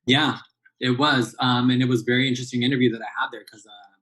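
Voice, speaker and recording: A man's voice: medium pitch, fairly even intonation, quick pace, a bit bright, low-quality recording. A man in his late thirties, a barista guest, talking casually in a rambling way with lots of fillers and restarts. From Southern California. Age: 30s. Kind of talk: casual interview chat.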